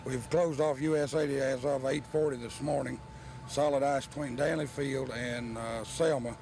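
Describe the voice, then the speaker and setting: A male state trooper gives interview answers in a middle-pitched voice. He sounds formal and matter-of-fact, with light outdoor road noise around him. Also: fairly even intonation, moderate pace, creaky, a little mellow. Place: outdoors beside a highway. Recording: noisy outdoor field recording.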